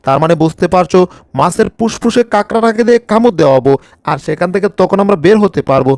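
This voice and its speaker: A man's voice: sits high, animated, quick pace, darkish tone, dry recording. A man voicing an anxious, scolding bulldog in a cartoon dub, with an exclamatory, grumbling manner. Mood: scheming. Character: anxious, scolding male bulldog taking charge.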